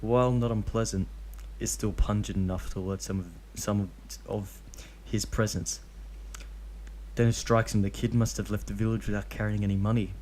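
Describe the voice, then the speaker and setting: A man's voice: lowish voice, level tone, normal speed, darkish tone, a little hiss or hum. A young man casually reading a fanfic aloud, tripping over the odd name. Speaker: fanfic reader.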